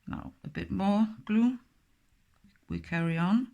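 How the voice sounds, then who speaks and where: A middle-aged woman with a Danish accent, a native Danish speaker, talking slowly and hesitantly through a step-by-step craft tutorial with many fillers. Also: mid-range voice, mellow, dull audio.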